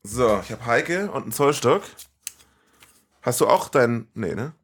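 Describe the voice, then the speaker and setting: A man's voice: mid-range voice, expressive, unhurried, breathy edge, no room sound. A man co-hosting a podcast in casual chat, playful and rambling, often interrupting himself. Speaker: podcast co-host.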